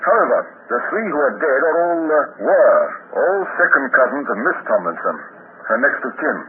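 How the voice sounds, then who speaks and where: An actor playing a shrewd, courteous police inspector in a 1950s radio drama, asking clipped, probing questions. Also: male voice, mid-range voice, sing-song, normal speed, lightly acted. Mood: serious, firm. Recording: noisy, tinny 1950s radio recording.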